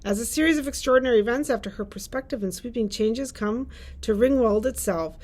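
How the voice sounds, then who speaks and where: A woman with a fairly high voice shares book recommendations as a librarian host. She reads prepared blurbs aloud. Now and then she slips into light, informal chat. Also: brisk, a little mellow.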